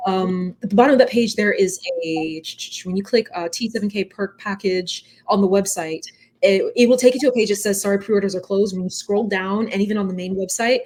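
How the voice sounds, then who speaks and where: A woman's voice: mid-range voice, fairly quick, a little mellow. A woman speaking as a podcast guest, explaining things casually, politely and in detail, often correcting herself. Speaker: podcast guest, manga creator.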